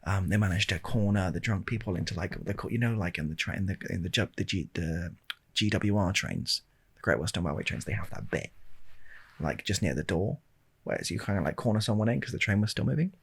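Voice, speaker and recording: Low, gravelly British man rambling softly in ASMR. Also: fairly quick. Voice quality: husky, gravelly. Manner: deadpan, hesitant, meandering. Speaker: video storyteller. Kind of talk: ASMR rambling comic storytelling. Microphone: close handheld-recorder style microphone.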